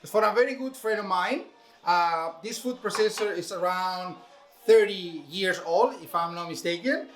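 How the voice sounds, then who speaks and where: A man's voice: sits high, moderate pace, a bit bright, faint music behind. Venezuelan man chef showing a recipe. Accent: Venezuelan Spanish. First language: Spanish. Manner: explains step by step, many fillers. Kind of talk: casual cooking demonstration.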